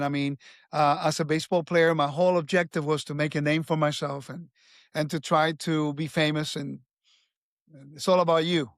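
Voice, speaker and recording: A man's voice: fairly high pitch, no echo. Earnest man telling his story, dry sound. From Puerto Rico. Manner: earnest, with frequent restarts and fillers. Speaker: guest. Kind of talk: conversational life story.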